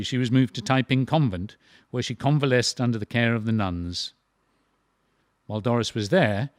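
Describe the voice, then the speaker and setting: A man's voice: medium pitch, no echo, studio quality. A man narrating a historical audio drama from a script, in documentary style.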